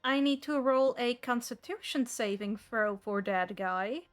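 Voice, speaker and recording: A woman's voice: on the high side, a little slow, soft background music. A woman acting as game master, narrating and refereeing a tabletop role-playing game.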